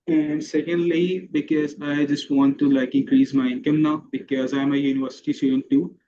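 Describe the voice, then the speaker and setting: A young man in his twenties asks his question in plain, earnest words. His voice stays flat at a middle pitch. It comes through dull video-call audio with a faint hiss behind it. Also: normal speed. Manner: plain, earnest, calls the host bro. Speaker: caller, university student asking a question. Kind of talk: casual call.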